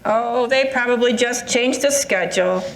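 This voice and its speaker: A woman's voice: on the high side, normal speed, gravelly. An older woman playing a sympathetic, wryly reminiscing friend in an amateur readers theatre comedy, with conversational stage delivery. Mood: matter-of-fact. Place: indoor live readers theatre performance. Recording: roomy, echoing live stage pickup.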